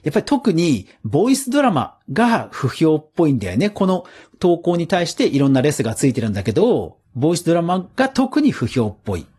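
A man with a fairly high, husky voice talks through the news as a solo podcast host. His intonation moves around a lot, while the tone stays mellow. He explains things conversationally and casually. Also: very animated, fairly quick, hardly any pauses.

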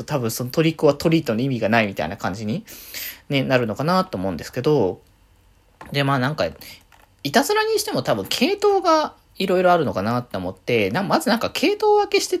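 A woman hosting a casual solo radio chat, rambling along in a chatty, giggly way. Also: extremely low pitch. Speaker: solo podcast host and game streamer.

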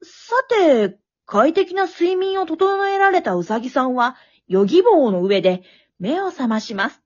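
A woman playing an excitable, wisecracking storyteller, narrating a comic radio drama like play-by-play with dramatic flair. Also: high-pitched, unhurried. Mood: wry, exasperated. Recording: dull, lo-fi recording.

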